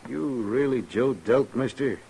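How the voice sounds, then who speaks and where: An American actor playing a colloquial, drawling saloon tough who is easily cowed, in a 1950s radio western. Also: male voice, mid-range voice, lively intonation, a little slow, vocal fry, warm tone. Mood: frightened. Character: swaggering saloon tough turned frightened and backing down. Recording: old radio recording with faint noise.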